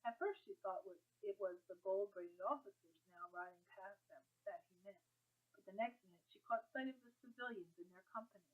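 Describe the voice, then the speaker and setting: A woman narrates a story from a script, at a middle pitch. The recording is muffled and band-limited, with little top end. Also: lively intonation, a little fast. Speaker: audiobook narrator.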